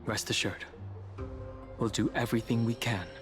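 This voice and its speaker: An actor playing a calm, sincere and supportive young traveling companion in a video game, speaking politely and thoughtfully. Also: male voice, mid-range voice, close to an everyday voice. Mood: friendly, reassuring. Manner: thoughtful, polite, measured wording. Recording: loud music behind.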